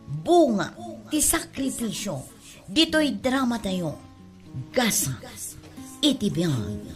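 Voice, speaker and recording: A woman's voice: medium pitch, very animated, takes it slowly, faint music behind. A woman with an Ilocano accent delivering scripted announcements as narrator of a drama series.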